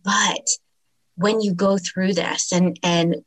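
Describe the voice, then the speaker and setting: A woman hosting a podcast, responding in a reflective, measured way. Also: fairly low pitch, steady tone, low-quality recording. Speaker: podcast host. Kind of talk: conversational podcast chat.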